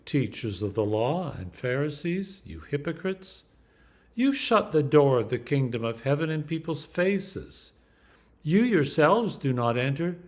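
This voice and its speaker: A man, an Anglican priest, reading scripture aloud in a slow, deliberate and emphatic way. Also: fairly high pitch, a little mellow.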